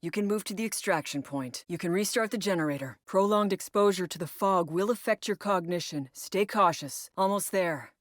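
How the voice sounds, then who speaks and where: Low-voiced military woman, voiced by an actress. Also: normal speed, hardly any pauses, dry recording, studio quality, lightly acted. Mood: focused, commanding. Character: female military operative briefing squad on alien parasite missions. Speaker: mission briefer and incursion leader. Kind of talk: scripted video game mission briefing.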